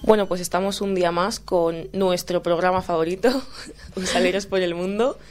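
Young female radio host, low-pitched, casual and quick. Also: darkish tone, faint music behind. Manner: laughing, quick asides. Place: radio studio.

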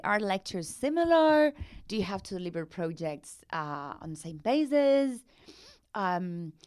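A woman's voice: mid-range voice, no echo, clean studio sound. A woman with a tongue hosting a radio interview, enthusiastic and effusive, sometimes searching for words in her questions. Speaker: radio host.

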